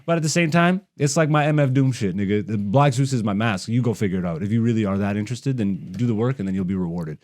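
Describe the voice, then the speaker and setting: A man's voice: lowish voice, quick pace, few pauses, a little mellow. A man from Canada, a stand-up comedian hosting a podcast, talks in a casual, blunt, slangy rant full of swearing. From raised in Canada.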